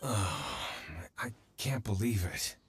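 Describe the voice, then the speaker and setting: A man playing a dejected contest loser in a video game, with a husky, gravelly voice. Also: fairly low pitch, big pitch swings, slow, slight background noise.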